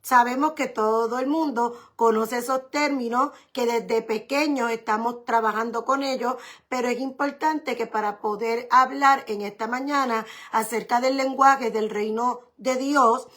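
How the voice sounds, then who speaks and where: Female pastor, fairly high-pitched voice, for a teaching sermon; deliberate, explanatory, restates key points. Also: fairly even intonation, fairly bright tone.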